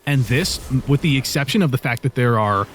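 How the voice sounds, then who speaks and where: A man's voice: mid-range voice, animated, brisk, slight background noise. A man co-hosting a let's play in casual banter, swearing freely and joking as he goes. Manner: profane, joking. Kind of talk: casual banter, gameplay commentary.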